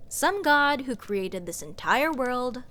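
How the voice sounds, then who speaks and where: A woman's voice: high-pitched, lively intonation, sharp tone, full character voice. A woman playing a snarky, exasperated sorceress adventurer in a fantasy comedy audio roleplay, sarcastic and nitpicky, stressing and stretching her words. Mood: exasperated, scoffing. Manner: sarcastic, scoffing, emphatic with drawn-out words.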